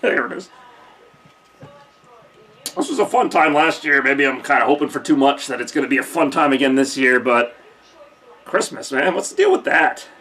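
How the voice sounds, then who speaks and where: A young man casually hosting a live holiday stream from a decorated room at home, joking and thinking out loud with lots of fillers, recorded on a camcorder mic. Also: medium pitch, lively intonation, moderate pace, pauses often. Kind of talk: casual live-stream chat reading viewer comments.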